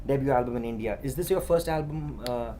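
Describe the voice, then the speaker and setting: A woman hosts a talk show with a very low, dark, mellow voice and a brisk pace. She leads her guest with eager questions padded with fillers. A faint room hum sits under her. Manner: eager, leading questions, many "you know" fillers. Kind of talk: interviewing a guest.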